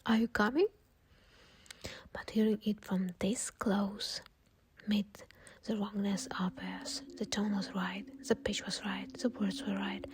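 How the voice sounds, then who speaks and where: A woman narrating a horror story in a breathy, unvoiced whisper. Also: medium pitch, little pitch movement, a little slow, slight background noise. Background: soft music.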